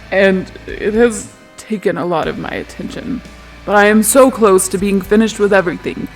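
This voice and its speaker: A performer playing an obsessive, secretive, wounded male genius in a hushed, intense, halting manner for a tabletop roleplay. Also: mid-range voice. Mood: guarded, brooding.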